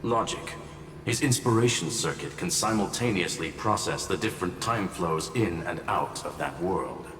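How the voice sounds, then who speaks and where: Deep, formal scholar-strategist. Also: male voice, lively intonation, a bit bright, slight background noise. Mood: calm, analytical. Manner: formal, measured, analytical. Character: composed, formal male scholar-strategist, analytical. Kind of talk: video game story acting. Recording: distant, reverberant hall, music behind.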